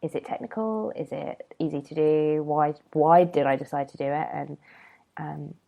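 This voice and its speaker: A woman's voice: sits low, moderate pace, creaky, dark tone. A woman hosting a casual solo podcast, rambling and chatty with lots of ums, sounding a bit nasal from a cold. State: has a cold, slightly nasal. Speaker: podcast host.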